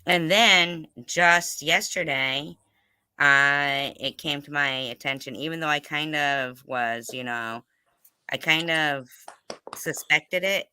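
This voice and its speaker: A livestream host in casual interview chat, talking insistently in halting bursts and circling back to the point. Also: medium pitch, pushed and bright, clear, resonant tone, no room sound.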